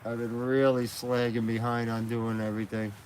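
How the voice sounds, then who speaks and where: A man's voice: medium pitch, little pitch movement, moderate pace, darkish tone, slight background noise, rough recording. A man chatting casually and rambling on a live stream, outdoors at night beside a fire, filming on a phone mounted on a gimbal. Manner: rambling, chatty, laughs easily.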